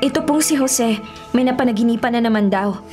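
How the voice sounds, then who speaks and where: A woman's voice: fairly high pitch, a little fast, a little mellow, soft background music, lightly acted. A woman playing a resentful, scolding sister in a Filipino biblical radio drama, exasperated and complaining. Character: Dina, resentful scolding sister of a favored boy.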